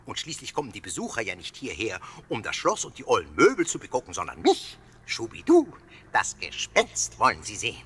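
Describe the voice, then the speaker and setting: A cheeky little castle ghost for a children's audio play. The voice is rough and husky and leaps all over the scale. It is full of boasting and self-satisfied repetition. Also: male voice, on the low side, huge pitch swings, fairly quick, a bit bright, full character voice. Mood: smug. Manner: repeats sentence endings, self-satisfied. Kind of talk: children's audio drama acting.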